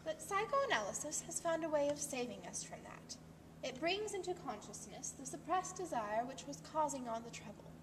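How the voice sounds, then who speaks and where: A superior, zealous wife played by a woman lectures in a high voice, insistent and condescending. It is amateur stage acting, picked up from far off in a reverberant hall with clear noise. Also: big pitch swings, moderate pace. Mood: earnest lecturing. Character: earnest, superior wife zealous about psychoanalysis. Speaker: amateur actor. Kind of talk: amateur stage-play rehearsal. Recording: distant mic, echoey hall, clearly noisy.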